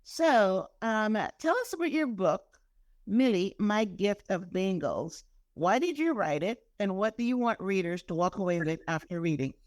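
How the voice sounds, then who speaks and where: A woman's voice: mid-range voice, moderate pace, dry recording. Chatty podcast host woman, dry sound. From Guyana. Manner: animated, chatty, laughs easily. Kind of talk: podcast interview.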